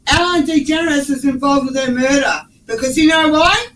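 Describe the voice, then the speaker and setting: A woman with the flu and a hoarse voice, chatting bluntly and casually. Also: sits high, a little fast, fairly bright tone, far from the mic. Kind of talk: casual chat.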